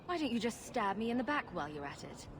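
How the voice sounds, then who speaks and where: A woman's voice: a little high, big pitch swings, fast talker, background noise. An actress playing a sarcastic female rogue hero in a fantasy game, speaking in a dry, direct way. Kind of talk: fantasy video game acting.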